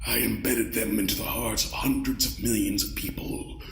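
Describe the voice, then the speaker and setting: A man voicing an ancient, courtly alien mind-parasite in an audio drama, pompous and smugly menacing, savoring his pauses. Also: fairly low pitch, steady tone, vocal fry. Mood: haughty. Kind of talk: scripted audio drama acting. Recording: roomy echo.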